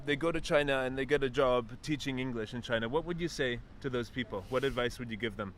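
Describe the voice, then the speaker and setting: A man's voice: mid-range voice, quick pace, fairly bright tone. Agreeable male interviewer, faint street noise. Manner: agreeable, says "yeah" a lot. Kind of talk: casual interview.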